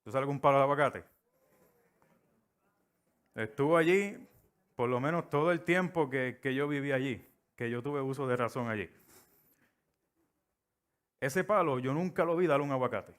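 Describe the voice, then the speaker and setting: A middle-aged man from Puerto Rico with a Puerto Rican Spanish accent, preaching a sermon. His voice sits at an ordinary middle pitch. He tells stories and acts out the voices he quotes. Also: moderate pace, long pauses.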